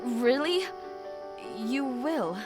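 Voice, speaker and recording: A high-pitched young woman played by an actress in a fantasy audio drama. She is an anxious, protective elder sister who speaks earnestly and uneasily over clearly audible music. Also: expressive, very slow, exaggerated voice. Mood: relieved.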